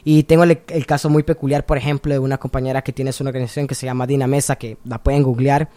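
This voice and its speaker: A man from Honduras interviewing a guest in a relaxed, engaged way, often chiming in with short agreements. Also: mid-range voice, quick pace, dry recording. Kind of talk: conversational interview.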